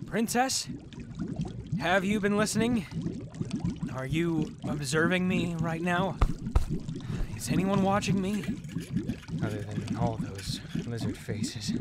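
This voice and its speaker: A man acting a beleaguered young adventurer trapped in a dungeon in a fantasy audio drama, talking to himself in halting asides. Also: a little high, animated, very noisy. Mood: frustrated, anxious. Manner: talks to himself in halting, breathy asides with sighs. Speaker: voice actor.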